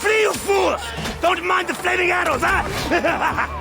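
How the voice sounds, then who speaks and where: An actor playing a defiant battle commander rallying a crew at sea in a video game, bellowing with glee. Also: male voice, very high voice, slow, raised voice, crowd noise, heavily acted. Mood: defiant, rousing. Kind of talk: dramatic video game acting. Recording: game music behind.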